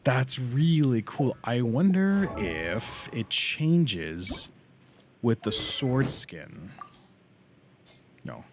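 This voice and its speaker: A man doing casual livestream commentary on a game, informal and sweary, thinking out loud as he plays. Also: medium pitch, very animated, drawn-out words, background noise. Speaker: live game streamer.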